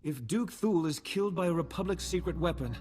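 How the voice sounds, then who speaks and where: Game diplomat: male actor as young nobleman, fairly high voice, formal, worried. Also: animated, darkish tone, soft background music, lightly acted. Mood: grave, worried. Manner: formal, measured wording.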